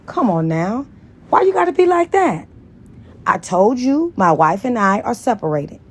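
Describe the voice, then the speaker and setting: A woman's voice: mid-range voice, sing-song, a little slow, slightly rough, pitched above the performer's own voice, full character voice. A woman voicing a persistent, smitten married man who pleads with his lover in an audiobook. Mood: pleading. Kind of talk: dramatized audiobook dialogue.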